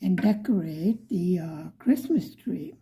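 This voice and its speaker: A middle-aged woman from Buffalo, New York, telling a story in a soft, often whispery voice. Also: lowish voice, animated, slow pace, poor audio. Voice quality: frequently whispery, breathy delivery. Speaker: vlogger.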